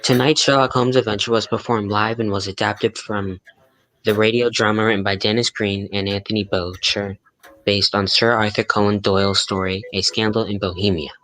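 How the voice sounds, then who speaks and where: An eager old-time radio announcer, played by a man. His fairly low voice delivers scripted lines in a folksy, presentational style. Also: fairly even intonation, moderate pace, a bit bright, faint music behind, close to an everyday voice, rough recording. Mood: formal, promotional.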